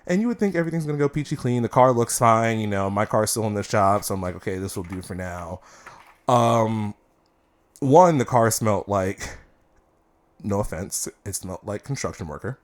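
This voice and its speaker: Lively male co-host telling stories. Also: on the low side, moderate pace. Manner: storytelling, profane, playful. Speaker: podcast co-host. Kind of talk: casual chat.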